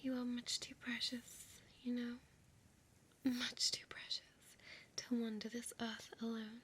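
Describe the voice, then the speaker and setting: A seductive, ancient vampire woman, voiced by a woman, whispers slowly and breathily, tender yet possessive. Her fairly high voice stays hushed and close in an ASMR-style audio roleplay, with faint ambient noise behind it. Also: monotone. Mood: tender, enchanted.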